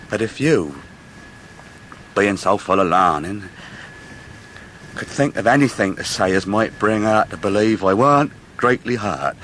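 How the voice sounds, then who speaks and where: An actor playing a humble, heartbroken young fisherman in a radio drama, halting and earnest. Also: fairly low pitch, a bit bright, a little hiss or hum, close to an everyday voice, low-quality recording. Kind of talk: 1990s radio drama acting.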